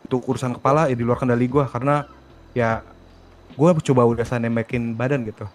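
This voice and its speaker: A man's voice: medium pitch, steady tone, a little fast, soft background music. A man arguing insistently in character during an online role-play game. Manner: argumentative, insistent. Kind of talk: in-character argument in a multiplayer game.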